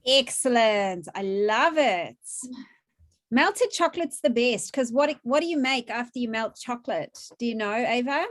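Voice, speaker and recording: A woman's voice: fairly high pitch, animated, unhurried. Upbeat New woman teaching cooking. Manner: upbeat, chatty, asks questions of a child. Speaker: cooking class host. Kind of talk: virtual cooking class. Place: home kitchen.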